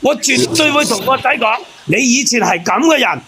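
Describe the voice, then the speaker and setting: A man with a very high-pitched voice argues casually but heatedly on an advice panel. He sounds indignant and confrontational, with other voices chattering behind him. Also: lively intonation, normal speed, crowd noise. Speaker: panelist on a call-in advice show.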